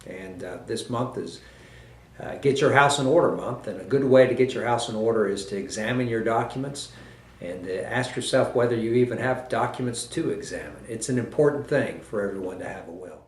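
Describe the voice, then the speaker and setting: A male attorney giving a relaxed, conversational informational message. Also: medium pitch, a little fast. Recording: echoey room.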